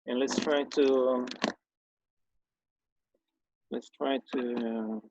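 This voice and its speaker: A man's voice: medium pitch, steady tone, a little mellow, highs cut off, a little hiss or hum. A man from Sweden with a Swedish accent, a native Swedish speaker and former schoolteacher, giving an informal explanatory talk in a hesitant way, full of fillers and restarts. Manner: hesitant, halting with many fillers and repeated restarts.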